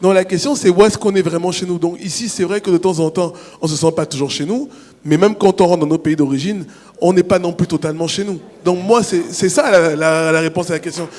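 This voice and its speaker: Man from Cameroon, panel author: measured, raised voice, long hall reverb. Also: high-pitched, a little mellow. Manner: measured and explanatory, with frequent restarts. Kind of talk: answering questions at a public panel.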